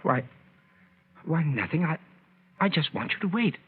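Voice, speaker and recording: An actor playing an anxious, evasive husband in a 1940s radio drama, with urgent, stammering, melodramatic delivery. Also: male voice, fairly high pitch, expressive, measured pace, husky, full character voice. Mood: pleading, evasive. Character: anxious, evasive husband pleading with his lover. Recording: scratchy, tinny 1940s radio recording.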